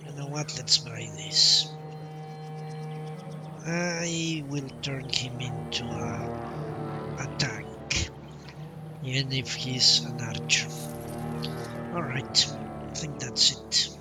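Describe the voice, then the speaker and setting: A man's voice: mid-range voice, drawn-out words, pauses often. A man live streaming a game, casually thinking aloud and muttering to himself as he reads menus. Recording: clear game music behind voice. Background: video game music.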